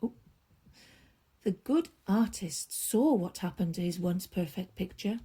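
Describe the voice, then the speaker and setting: A woman storyteller reading a children's bedtime story aloud, expressively, with drawn-out emphasis and hushed drops. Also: on the low side, lively intonation, husky.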